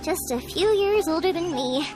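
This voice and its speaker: A woman's voice: very high-pitched, expressive, normal speed, over loud music. A woman playing a cheerful, breezy young fireworks maker who talks casually and chattily in game dialogue. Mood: playful, embarrassed. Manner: chatty, casual, playful storytelling.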